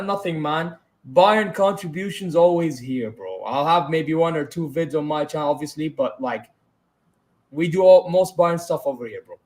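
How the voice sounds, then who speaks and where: A man from Saudi Arabia with a British-influenced accent, co-hosting a casual livestream chat and bantering a lot, with plenty of "bro" and "you know what I mean". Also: fairly high pitch. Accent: British-influenced English. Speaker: co-host, channel owner.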